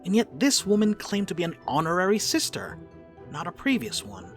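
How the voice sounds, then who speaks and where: A man's voice: high voice, huge pitch swings, moderate pace, music in the background. A man giving scripted third-person narration for a dramatic reading.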